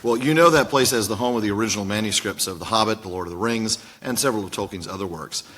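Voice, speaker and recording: Fast-talking, low-voiced man hosting. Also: fairly bright tone. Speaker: podcast co-host. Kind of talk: casual live interview. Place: indoor conference event.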